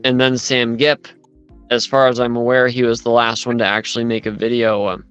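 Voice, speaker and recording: A man's voice: mid-range voice, little pitch movement, fairly quick, a bit bright, smooth, dry recording, highs cut off, soft background music. A man in casual chat, rambling as he retells events with lots of asides.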